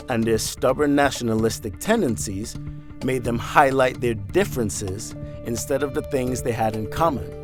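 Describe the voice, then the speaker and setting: A man narrating a news feature in a scripted, conversational voice-over, asking rhetorical questions. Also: medium pitch.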